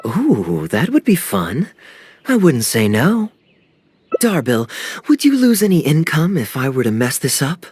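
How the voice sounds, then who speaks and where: An actor playing a charming, playful young adventurer in a video game cutscene, with a smooth, easy delivery. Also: male voice, fairly high pitch, wildly swooping pitch, unhurried, a little mellow, a little hiss or hum. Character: suave, teasing young adult male adventurer. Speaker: game character.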